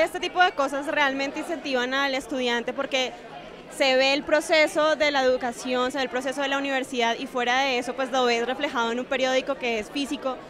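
A young woman student speaks eagerly in a high voice during an interview. She stands at a busy event, and the chatter of a crowd fills the background.

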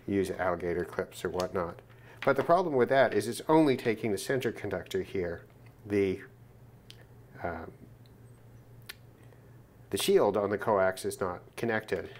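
Low-pitched man, casual hands-on demo. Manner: matter-of-fact, thinking aloud. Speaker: presenter of a radio gear test video. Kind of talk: casual unscripted hands-on demonstration. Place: indoors at a work desk.

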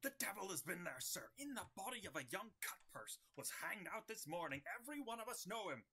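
An agitated prison keeper played by an actor from an old stage comedy. The voice is husky and sharp, with lively swings in pitch and an urgent, excitable delivery. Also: a little fast, exaggerated voice. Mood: alarmed. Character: agitated prison keeper bringing alarming news. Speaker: amateur actor. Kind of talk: early modern stage comedy acting.

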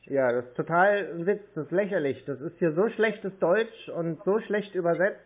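Tinny phone audio, man, fairly high voice, blunt, naive-sounding, casual conversation. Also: normal speed. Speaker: call recipient baiting phone scammers. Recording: tinny narrowband phone line.

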